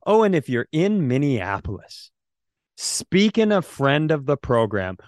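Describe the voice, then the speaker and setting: Higher-voiced man, crisp and dry. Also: sing-song, a little slow, dry recording. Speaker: podcast co-host. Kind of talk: casual comedic chat.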